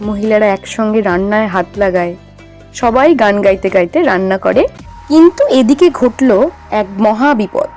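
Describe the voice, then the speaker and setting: A woman's voice: mid-range voice, darkish tone. A woman narrator reading a scripted children's story.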